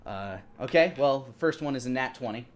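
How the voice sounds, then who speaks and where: A man in his thirties narrating a tabletop game as game master, descriptive, with hesitant pauses. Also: medium pitch, animated, a little hiss or hum. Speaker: game master and stream host. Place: indoor room at home, live stream. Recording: music playing behind the voice. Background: background music.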